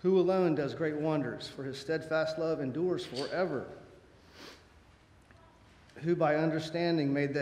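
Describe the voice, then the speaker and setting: A middle-aged man reading a psalm aloud in a measured, solemn way at a church lectern. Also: fairly high pitch, darkish tone. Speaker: scripture reader. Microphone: lectern mic. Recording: roomy church echo.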